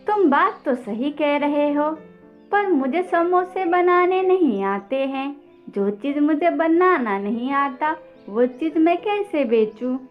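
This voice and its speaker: A woman's voice: high voice, lively intonation, slow pace, muffled recording, soft background music. A woman voicing an earnest, plaintive female bird shopkeeper in a children's cartoon story.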